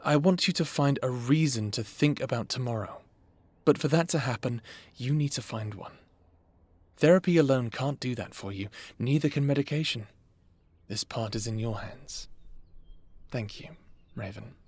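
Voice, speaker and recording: A man playing a calm, caring therapist who talks to a patient in a measured, reassuring way, in an audio roleplay. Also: medium pitch, slightly rough, lightly acted. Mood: earnest, encouraging.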